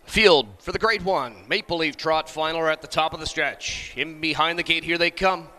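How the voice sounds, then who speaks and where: A man's voice: a little high, moderate pace, bright, forceful tone. A man calling a live horse race in clipped, urgent announcer style. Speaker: race caller.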